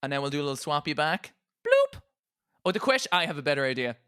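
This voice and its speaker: A man's voice: a little high, very animated, quick pace, a bit bright, clear, resonant tone, no echo, studio quality. A man hosting a role-play podcast, chatting casually with playful theatrical flair and casual swearing. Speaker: podcast host and player in an audio role-play.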